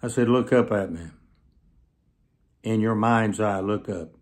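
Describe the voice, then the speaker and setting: A man in his seventies preaching through personal stories, speaking earnestly to camera with emphatic, drawn-out phrases. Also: lowish voice, a little mellow. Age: 70s. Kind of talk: sermon storytelling told to camera. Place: small plain indoor room, seated.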